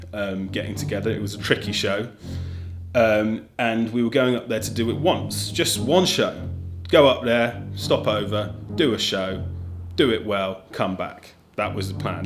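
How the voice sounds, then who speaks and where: A man's voice: lowish voice, steady tone, normal speed. A man, an actor, telling anecdotes in a casual interview style. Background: guitar music.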